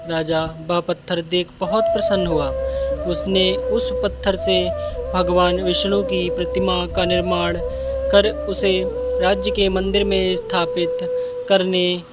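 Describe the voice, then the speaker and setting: A man narrating a scripted moral story with deliberate, halting phrasing. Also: high voice, flat delivery. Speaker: storyteller for a channel. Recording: loud music behind the voice. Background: loud music.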